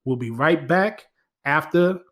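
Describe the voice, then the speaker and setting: A man's voice: a little high, slow, very noisy. Earnest male radio host. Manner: steady, earnest, with frequent fillers. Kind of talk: radio show introduction.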